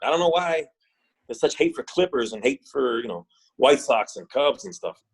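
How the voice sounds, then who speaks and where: A man's voice: medium pitch, lively intonation, moderate pace, slightly rough. A man from Puerto Rico, a wrestler guest on a podcast, chatting casually and often repeating words as he thinks.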